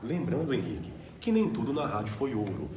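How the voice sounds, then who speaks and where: A man's voice: medium pitch, sing-song, fairly quick, a little mellow. A man with a Brazilian Portuguese accent presenting a student radio program as co-host, in a semi-scripted style. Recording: roomy echo, faint background noise.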